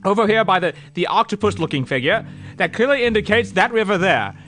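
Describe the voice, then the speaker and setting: A man's voice: sits high, lively intonation, fairly quick, fairly bright tone, faint music behind. A man playing a hesitant, bookish older academic, earnest and stammering, in a comic audio adventure. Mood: scholarly, earnest. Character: fussy, hesitant older male academic, keen hieroglyph scholar.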